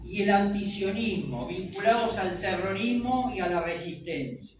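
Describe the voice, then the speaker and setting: A man's voice: high-pitched, expressive, moderate pace. A man whose first language is French, giving a conversational public talk to an audience and asking them rhetorical questions. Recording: echoey room from far off, faint noise.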